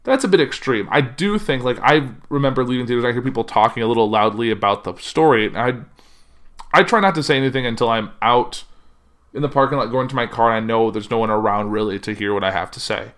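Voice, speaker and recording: A man hosting a podcast, talking casually and rambling, with a loud voice that carries and frequent self-corrections. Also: medium pitch, fast. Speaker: podcast host. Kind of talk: casual solo chat.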